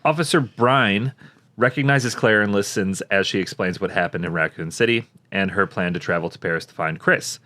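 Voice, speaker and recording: A man's voice: on the low side, normal speed, bright, forceful tone. A man co-hosting a comedy podcast, reading plot summaries and breaking off for crude, joking asides. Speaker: podcast co-host.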